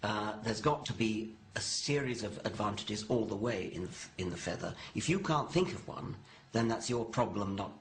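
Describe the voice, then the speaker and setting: A man speaks in an interview with a fairly low, gravelly voice. He talks conversationally and haltingly, pausing as he goes, with faint noise in the recording. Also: animated.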